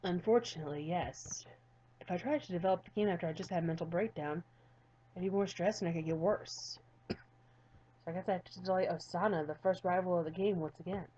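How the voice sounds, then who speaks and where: Tired, stammering young guy coder as a woman puts him on, low and quiet, lo-fi mic. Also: lightly acted. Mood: weary. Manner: subdued, halting, stammering. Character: weary, burned-out male game developer.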